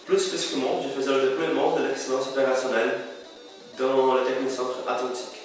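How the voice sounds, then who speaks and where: A young man, by birth, presents himself in a steady, measured way. His voice sits at an ordinary pitch for a man and bounces around a very echoey room, picked up from some distance. Faint music plays behind him. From Brazil. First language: Portuguese. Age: 20s. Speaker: student. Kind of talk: spoken video CV.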